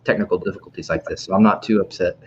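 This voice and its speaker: A man's voice: low-pitched, fairly even intonation, fast. A man casually and politely hosting a live program over a video call. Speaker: library program host. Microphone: video call microphone. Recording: dull video-call audio, background noise.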